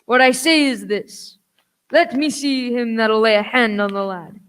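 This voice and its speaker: A woman's voice: on the high side, unhurried, fairly bright tone, clear, resonant tone. A woman acting a sly, commanding old male pirate leader in a radio play, with theatrical, piratical phrasing.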